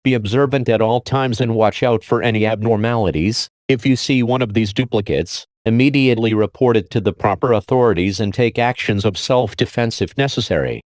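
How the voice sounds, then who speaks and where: A man playing a calm, formal emergency alert announcer, reading a script in a measured, impersonal and grave way. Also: on the low side, dry recording, studio quality, lightly acted.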